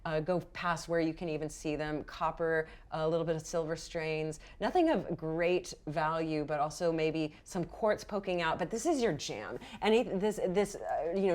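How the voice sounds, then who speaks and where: A woman's voice: sits low, moderate pace, few pauses. A woman narrating as game master of a roleplaying game, casual and encouraging in an improvised, conversational way.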